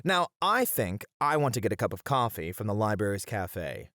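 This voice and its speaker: A man playing a passionate, playful college teacher with dramatic, expressive phrasing in an ASMR roleplay. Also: lowish voice, normal speed, no echo, studio quality. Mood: warm, encouraging. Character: flirty, theatrical, passionate male college teacher.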